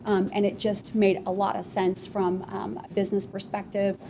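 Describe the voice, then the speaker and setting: A woman founder on a live podcast panel, telling her business story at length in a relaxed, rambling way with many fillers. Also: medium pitch, moderate pace, vocal fry, a little hiss or hum. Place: office podcast studio with live audience.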